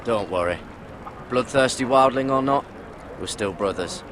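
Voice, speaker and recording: A man's voice: medium pitch, normal speed, close to an everyday voice. An actor playing a wary, loyal young sworn watchman in a video game, direct and questioning. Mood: reassuring. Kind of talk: dramatic video game voice acting. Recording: clearly noisy outdoor ambience.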